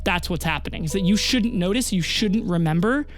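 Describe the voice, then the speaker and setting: A game master with a mid-pitched voice narrates a fantasy tabletop game. The telling is dramatic yet casual and improvised, with faint music underneath. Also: lively intonation, fairly quick. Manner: dramatic storytelling, casual and sweary.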